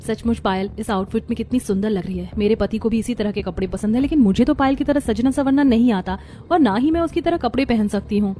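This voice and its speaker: A woman voicing polite young women of an Indian family in a cartoon story, with expressive delivery. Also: fairly high pitch, quick pace, hardly any pauses, slight background noise, close to an everyday voice. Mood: wistful. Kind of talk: scripted cartoon story dialogue.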